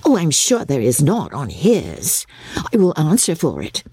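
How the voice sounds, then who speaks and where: A woman's voice: low-pitched, wildly swooping pitch, measured pace, mellow, breathy edge, dry recording, heavily acted. A woman voicing a giddy, gossipy, careless teenage girl in an audiobook, gushing and chattering. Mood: gossipy, triumphant.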